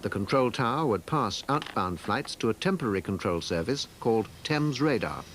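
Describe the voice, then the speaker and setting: A man's voice: fairly low pitch, expressive, moderate pace, fairly bright tone. A man reading scripted narration as the narrator of a documentary. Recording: noisy dated TV documentary soundtrack.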